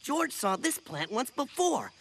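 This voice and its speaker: A woman's voice: high-pitched, big pitch swings, unhurried, heavy background noise, exaggerated voice. A woman voicing a dim-witted, cheerful jungle man in a cartoon, simple-minded and talking about himself in the third person. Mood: puzzled. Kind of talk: animated cartoon voice acting. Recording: music behind the voice.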